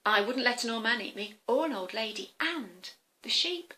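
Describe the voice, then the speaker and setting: A woman doing a loud, proud storybook cow. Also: fairly high pitch, lively intonation, normal speed. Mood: boastful. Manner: bold, boastful.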